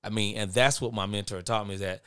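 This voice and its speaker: A middle-aged man with a big voice that sits on the low side. He talks earnestly and candidly, swelling in volume when he presses a point. The sound is close and dry. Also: fairly even intonation, quick pace, clear, resonant tone, no room sound. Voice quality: big, naturally forceful voice. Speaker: guest, father of boys. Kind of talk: casual podcast conversation.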